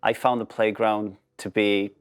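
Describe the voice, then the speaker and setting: A man's voice: on the low side, little pitch movement, measured pace, clean tone. A middle-aged man hosting an interview, chatting freely and swapping his own stories with the guest. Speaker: interview host. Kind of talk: casual interview chat.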